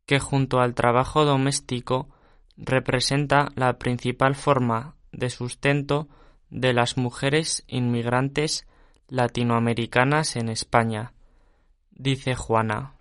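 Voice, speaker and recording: A man reading scripted passages and interview answers aloud for a listening exercise, with a measured, even delivery. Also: medium pitch, clear, resonant tone, dry recording, clean studio sound. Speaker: audio reader for a listening exercise.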